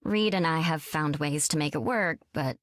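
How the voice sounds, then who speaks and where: An actress playing a calm, steady female superhero and wife in a video game, warm and reassuring. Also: low voice, moderate pace, dry recording, studio quality, close to an everyday voice.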